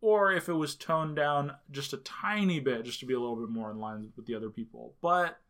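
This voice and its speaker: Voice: medium pitch, fairly quick, a bit bright. Rambling casual podcast co-host. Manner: rambling, heavy on filler words. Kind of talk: casual movie review chat.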